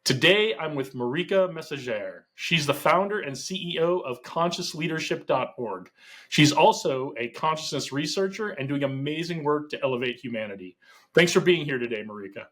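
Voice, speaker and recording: A man's voice: fairly high pitch, lively intonation, normal speed, a bit bright. A man hosting a podcast interview, introducing his guest and asking questions in an upbeat, enthusiastic way. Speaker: podcast host.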